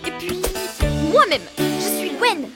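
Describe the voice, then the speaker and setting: A bubbly young cartoon dog girl chatters in a very high, friendly voice. Her pitch leaps about animatedly over clear background music. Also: slow, full character voice. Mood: friendly, proud. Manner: animated, chirpy. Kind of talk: French children's cartoon dub.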